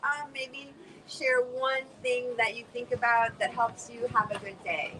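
A woman's voice: fairly high pitch, flat delivery, a little slow, pushed and bright, rough recording. A woman interviewing casually, with effusive, encouraging interjections between her questions. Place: outdoor terrace by a beach. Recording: outdoor recording with background noise.

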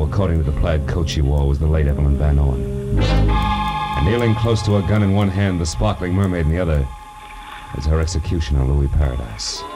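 A hard-boiled american detective, very low voice, old radio drama with loud music under it. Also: male voice. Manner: clipped, sardonic. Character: tough, wry hard-boiled private detective. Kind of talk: 1940s radio noir acting and first-person narration.

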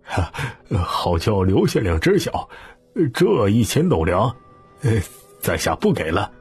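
A man voicing a wealthy country gentleman in an audiobook, courteous, formal and unctuous, obsequious and smiling. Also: fairly low pitch, very animated, a little slow, breathy edge, faint music behind, full character voice. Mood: smiling, placating. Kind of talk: audiobook character voice.

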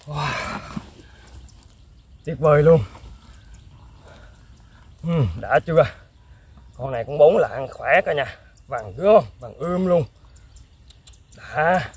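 A young man excitedly commenting to viewers while fishing outdoors, exclaiming often. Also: medium pitch, slightly rough. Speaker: fishing vlogger. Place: outdoors in fields.

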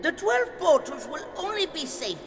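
A woman's voice: extremely high pitch, sing-song, normal speed, in a large hall, music in the background. A woman voicing a commanding otherworldly being in a puppet fantasy drama, theatrical and formal. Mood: grave.

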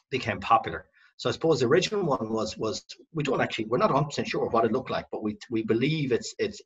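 A man's voice: mid-range voice, slightly rough, lo-fi sound. A middle-aged Irish man from the west of Ireland, a company director telling his family history in a rambling, self-correcting way on a video call from home. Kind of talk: casual video-call interview. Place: home room.

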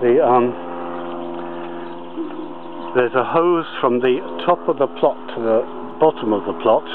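A man giving a slow, halting outdoor tour of his allotment plot, with many pauses and fillers. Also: medium pitch, phone-quality sound, noisy background. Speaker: allotment gardener.

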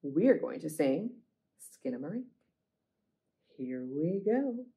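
A woman's voice: low voice, sing-song, slow pace, dark tone. A woman hosting a children's storytime, speaking expressively to young listeners and often putting questions to them. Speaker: library storytime host. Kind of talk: children's storytime, reading aloud and chatting to young viewers.

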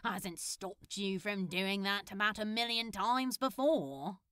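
Audiobook male superhero voiced by a woman: cocky, casual, wry, sharp-toned, very dry close recording. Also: medium pitch, takes it slowly, smooth, no echo. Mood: teasing, defiant. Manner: casual, teasing, drawling. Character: cocky, sarcastic male superhero, captive but unimpressed. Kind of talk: fan fiction audiobook character voice.

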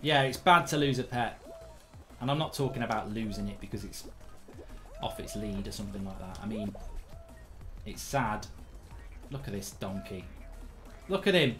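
A hoarse man in his thirties doing live, blunt, sweary commentary while streaming a game from a desk indoors. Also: lowish voice, expressive, normal speed, long pauses, fairly bright tone, soft background music. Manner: blunt, sweary, rambling banter.

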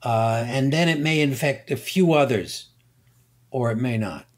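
An older man, a guest scientist, explaining slowly and deliberately, pausing and repeating words as he thinks. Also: mid-range voice. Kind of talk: unhurried expert explanation.

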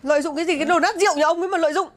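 A woman's voice: very high voice, racing. A woman playing an exasperated wife scolding her drunk husband in a comedy skit, nagging with repeated rhetorical questions.

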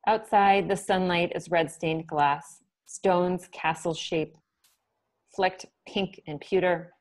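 A woman poet reading her own poems on a video call in a soft, measured way, with short spoken asides between them. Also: lowish voice, steady tone.

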